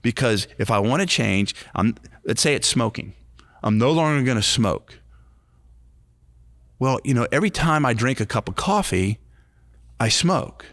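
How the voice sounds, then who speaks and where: A man's voice: mid-range voice, animated, a little slow, long pauses, fairly bright tone, no echo, studio quality. A man in his late fifties co-hosting a faith-based recovery podcast, talking earnestly and circling back over his phrases. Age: 50s. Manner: earnest, repetitive, restarts phrases. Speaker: podcast co-host, recovery ministry.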